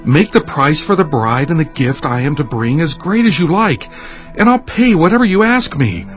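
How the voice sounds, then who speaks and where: Casting: male actor for eager, forceful biblical suitor type, fairly high voice, audio drama. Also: animated, moderate pace, lightly acted. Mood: eager pleading. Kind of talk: dramatized audio Bible acting. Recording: dull sound, light music behind.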